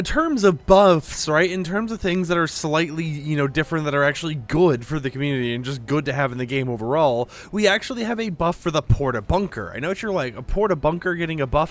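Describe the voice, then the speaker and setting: A young man with a sore throat, talking a bit quieter as he rambles casually through a gaming update on his channel. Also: a little high. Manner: talkative, rambling with many fillers. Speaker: gaming news YouTuber. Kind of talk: casual game update commentary.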